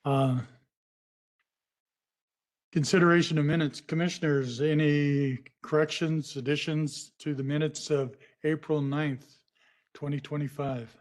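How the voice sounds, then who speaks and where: A man's voice: on the high side, steady tone, unhurried. A man serving as vice chair, running a city commission meeting in a plain, folksy way with many fillers. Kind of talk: public meeting procedure.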